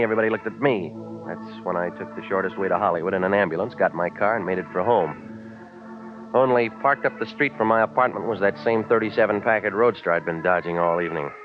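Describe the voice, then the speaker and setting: An actor playing a wisecracking, world-weary private investigator in a 1940s radio drama, terse and sardonic. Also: male voice, lowish voice, quick pace, natural voice. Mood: wry narrating. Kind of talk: 1940s radio detective drama narration and dialogue. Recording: dull 1940s radio recording, loud music.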